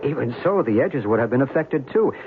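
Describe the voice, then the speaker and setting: A man's voice: medium pitch, sing-song, fairly quick, close to an everyday voice. A man playing an earnest, insistent UFO believer in an old-time radio drama, articulate and rather formal. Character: earnest, insistent male UFO believer, patient and solicitous companion. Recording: noisy, muffled old radio show recording.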